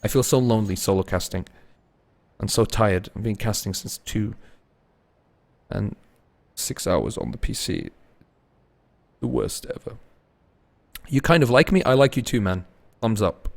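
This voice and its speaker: A man commentating solo on a live game stream, mixing play-calling with casual chat to viewers and shifting between excited and low-key delivery. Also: measured pace, creaky, long pauses. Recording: noisy with game gunfire and clicks. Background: game gunfire and clicks.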